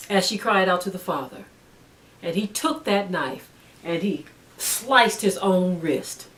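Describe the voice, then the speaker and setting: A woman giving an earnest spiritual teaching, emphatic and repeating phrases for stress. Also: on the low side. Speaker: religious teacher. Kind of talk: spiritual teaching, sermon-like monologue.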